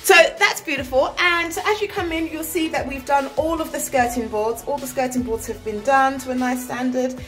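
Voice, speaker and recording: A woman's voice: sits high, normal speed, hardly any pauses, faint music behind. A woman in her thirties giving a chatty, enthusiastic walk-through of a renovated house, filmed on a handheld phone in empty rooms. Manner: chatty, enthusiastic, repeats phrases for emphasis. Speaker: property developer. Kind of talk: walk-through property tour vlog. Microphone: phone camera held at arm's length.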